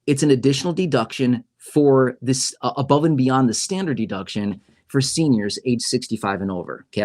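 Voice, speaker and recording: A man's voice: mid-range voice, a little mellow. A man hosting a casual livestream on YouTube, explaining policy news in a conversational way and often checking in with "okay?" and "right?". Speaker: YouTube host.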